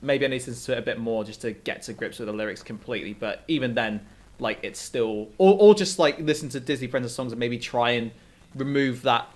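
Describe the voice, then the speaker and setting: A man's voice: medium pitch, a little fast. A man co-hosting a music show, discussing songs in a casual, conversational way.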